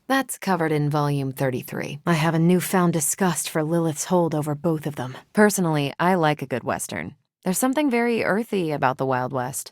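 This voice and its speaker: A woman's voice: deep voice, dry recording, studio quality. A young woman with an American accent playing a confident, wisecracking superhero in a video game, wry and punchy. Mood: wry, conversational. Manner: wry, punchy, self-assured. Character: confident, wisecracking, battle-ready female superhero. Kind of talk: video game character voice lines.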